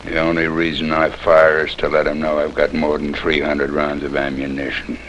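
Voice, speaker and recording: An actor playing a stern, proud cavalry commander in an old American TV western, lecturing in a commanding, condescending way. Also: male voice, extremely low pitch, completely flat delivery, moderate pace, fairly bright tone. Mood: proud, defiant. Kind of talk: mid-century American TV western acting. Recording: dull, noisy old TV soundtrack, rain.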